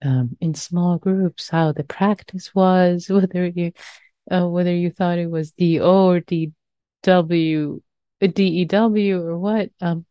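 A woman with a Persian accent, a meditation teacher speaking softly and slowly with many pauses and fillers, guiding a reflection. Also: lowish voice, dry recording. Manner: soft-spoken, pausing, with frequent fillers. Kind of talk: guided reflection after meditation. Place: meditation center hall. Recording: muffled lo-fi recording.

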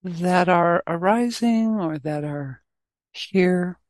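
A woman teacher leading a guided meditation, speaking in short, gently inviting phrases with pauses between them. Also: lowish voice, no room sound, studio quality.

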